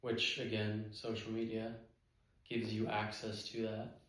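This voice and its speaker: A man chatting casually with his partner as co-host of a couple's channel, in halting phrases full of fillers. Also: on the low side, monotone, fairly bright tone. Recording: very echoey room.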